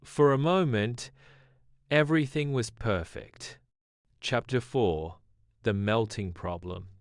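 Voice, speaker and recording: A man narrating a scripted children's bedtime story in a gentle, measured way. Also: mid-range voice, no echo, studio quality.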